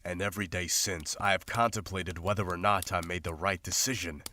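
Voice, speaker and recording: A man playing a grim, war-weary monster hunter in a visual novel, speaking in a solemn, formal, measured way. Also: low voice, steady tone, pushed and bright. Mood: remorseful. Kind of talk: visual novel voice acting, dramatic journal narration and dialogue.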